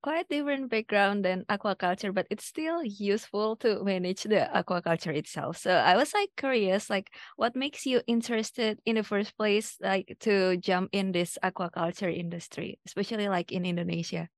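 A woman's voice: mid-range voice, moderate pace, hardly any pauses, no echo. A woman co-hosting a podcast, reading a scripted intro and then asking the guest questions casually, with many "like"s. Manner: casual, lots of "like" when asking. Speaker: podcast co-host.

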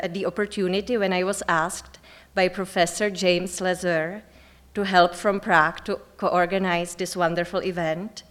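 Woman, 30s, Czech accent, native Czech speaker, formal scripted conference introduction from a stage. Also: on the low side. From Czech Republic. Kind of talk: prepared keynote introduction. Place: lecture stage.

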